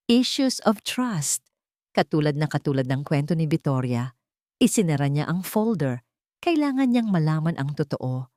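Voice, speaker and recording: Low-voiced Filipino woman narrating, dry sound. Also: a little mellow, no echo. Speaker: storyteller, narrator. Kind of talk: scripted dramatic story narration.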